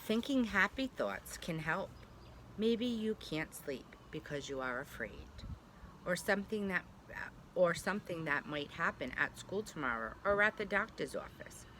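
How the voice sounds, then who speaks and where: A woman's voice: low voice, bright, forceful tone, a little hiss or hum. A woman pre-K teacher reading a picture book aloud to young children, speaking simply and directly to them with little check-in questions.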